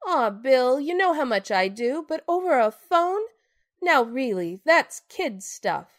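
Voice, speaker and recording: An actress playing a possessive, teasing stage star who is insecure in love, in a radio drama with first-person narration. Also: female voice, high-pitched, big pitch swings, a little slow, no echo, clean studio sound. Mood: tender, flustered.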